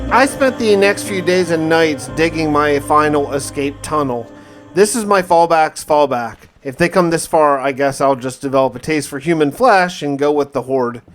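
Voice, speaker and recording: A man's voice: on the high side, normal speed, soft background music. A man narrating a gaming video in a casual, conversational first-person style with a mildly wry manner. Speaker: gaming video host narrating a survival playthrough.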